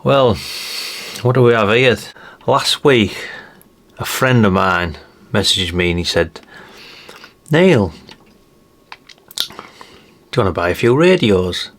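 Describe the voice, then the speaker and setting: A man's voice: on the low side, lively intonation, slow, long pauses, fairly bright tone. A middle-aged man chatting casually and unscripted as he shows things, mildly sweary and full of tag questions. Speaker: host of a retro radio collecting channel. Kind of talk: casual unscripted show-and-tell chat.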